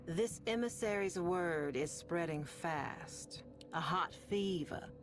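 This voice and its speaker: A woman playing a sardonic, world-weary radio host in a sci-fi game, telling stories in a deliberate, ominous, wry way with long pauses over music. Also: fairly low pitch. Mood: sardonic, foreboding. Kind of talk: slow dramatic monologue to listeners, storytelling.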